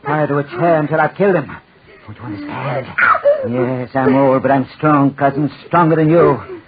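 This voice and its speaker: A man's voice: medium pitch, moderate pace, close to an everyday voice. An actor playing an old madman, agitated and murderous, repeating himself obsessively in 1940s radio horror style. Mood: threatening. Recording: muffled scratchy 1940s radio recording.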